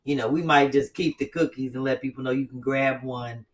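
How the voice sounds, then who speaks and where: Woman, casual chat host, animated, blunt, profane, set back from the mic. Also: a bass voice, a little fast, far from the mic.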